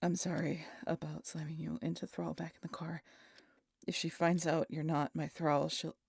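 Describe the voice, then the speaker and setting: A woman playing a wry, guarded vampire in a roleplay audio drama, sardonic and smooth-talking in a low-key way. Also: flat delivery, moderate pace, a little mellow, no room sound, clean studio sound, exaggerated voice. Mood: tense, apologetic. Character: wry, guarded female vampire, flattering her rival, secretly protective.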